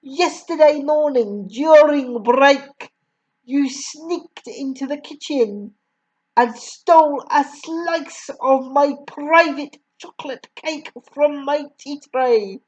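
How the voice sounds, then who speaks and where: A woman voicing a bullying, brawny headmistress who barks and shouts insults, pitched well above her own reading voice. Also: expressive, takes it slowly, few pauses, heavily acted, poor audio. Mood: furious.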